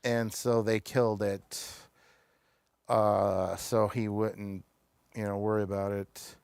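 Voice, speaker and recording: A man with a clean, smooth voice hosts an informal review chat. He talks haltingly, breaking off and resuming, and the sound is dry and close with very little room in it. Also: lowish voice, level tone, a little mellow, dry recording. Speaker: show host reviewing television episodes. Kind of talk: casual review discussion.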